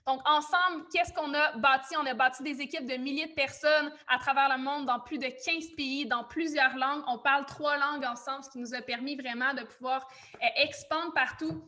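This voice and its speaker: Bright, high-voiced Québécois woman presenting. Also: fairly even intonation, normal speed. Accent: Québécois French. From Quebec, Canada. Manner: effusive, welcoming, informal. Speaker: webinar host and business coach. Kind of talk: informal online webinar presentation to an audience.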